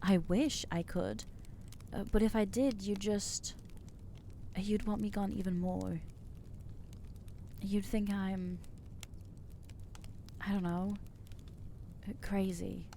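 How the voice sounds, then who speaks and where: A woman's voice: medium pitch, steady tone, takes it slowly, vocal fry, pauses often, dark tone, slight background noise. A woman playing an anxious rambling vampire. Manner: nervous, stammering, self-deprecating rambling. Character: anxious young female vampire, awkward and rambling. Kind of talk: ASMR audio roleplay.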